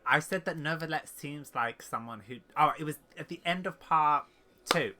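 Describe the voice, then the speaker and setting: A man giving casual, halting commentary on a game as he thinks aloud, with music underneath. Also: fairly high pitch, bright, forceful tone. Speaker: gaming streamer.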